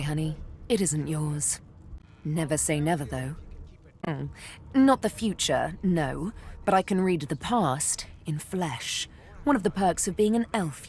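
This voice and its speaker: A woman's voice: sits low, a little mellow, full character voice. A woman voicing a sly elf rogue in a video game, playful and flirtatious with a theatrical, threatening edge. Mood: teasing, seductive. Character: sly elf woman rogue, former slave, teasing and menacing. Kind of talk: video game character acting.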